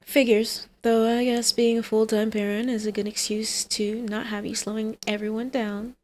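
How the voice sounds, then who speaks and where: A woman's voice: on the high side, little pitch movement, normal speed, darkish tone, close to an everyday voice. A woman playing a brazen, scheming supervillain mother in an ASMR roleplay, teasing, smug and coaxing.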